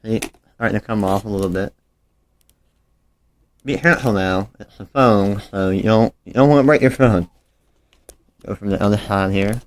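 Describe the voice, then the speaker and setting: Low-voiced man giving a casual repair how-to. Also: normal speed, long pauses, a little hiss or hum. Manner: informal, apologetic, talking while working with his hands. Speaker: phone repair tutorial host. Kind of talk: casual hands-on how-to narration.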